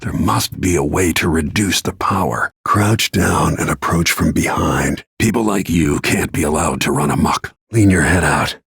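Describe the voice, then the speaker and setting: Grim video game assassin, middle-aged man, low-pitched, husky rasp, terse, brooding, dry close sound. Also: moderate pace, hardly any pauses, a bit bright, dry recording, full character voice. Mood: calm, stern. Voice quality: gravelly, husky. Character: grim, weathered middle-aged male royal bodyguard turned assassin.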